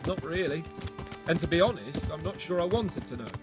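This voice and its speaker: A man's voice: a little high, animated, brisk, music in the background, lightly acted. An actor playing a cocky, worldly young medieval nobleman with a flippant, wry way of talking in video game dialogue. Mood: wry, candid.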